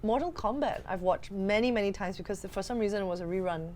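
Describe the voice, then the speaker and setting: A woman's voice: medium pitch, quick pace, a little mellow, a little hiss or hum. A woman co-hosting a podcast, chatting casually about films in an exasperated, very informal way. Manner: exasperated, informal, heavy use of "like". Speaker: podcast co-host. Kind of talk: casual film discussion.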